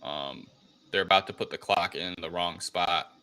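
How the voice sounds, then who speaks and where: Male podcast co-host, low and forceful, casual halting recap style. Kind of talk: casual film review chat.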